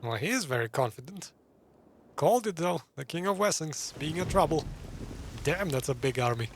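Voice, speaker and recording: A man's voice: a little high, animated, normal speed, a little hiss or hum. A man giving casual commentary as he plays a video game. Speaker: gamer commentator.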